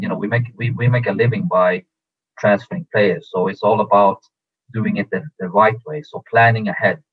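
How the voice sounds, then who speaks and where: A man who grew up in Sweden, a football agent answering interview questions in a measured way with many fillers and restarts. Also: on the low side. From Iran, raised in Sweden. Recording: tinny phone-like audio.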